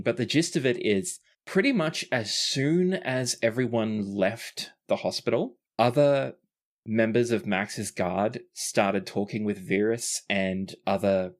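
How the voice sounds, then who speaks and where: A game master runs a tabletop story in a mid-pitched voice. The delivery is conversational storytelling, describing the scene and briefly voicing the characters along the way.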